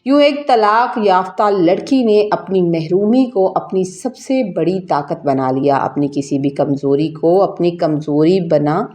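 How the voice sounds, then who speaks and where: A woman's voice: on the low side, lively intonation, few pauses, mellow. A woman reads a scripted first-person story as a storyteller, with an earnest, emotive, dramatic delivery. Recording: music bed under the voice. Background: background music.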